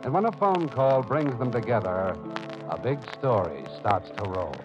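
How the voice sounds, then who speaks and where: A man's voice: mid-range voice, animated, moderate pace. A man narrating an old-time radio drama in a portentous, emphatic scripted style. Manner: portentous, emphatic, second-person storytelling. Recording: dull old radio broadcast. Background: dramatic music.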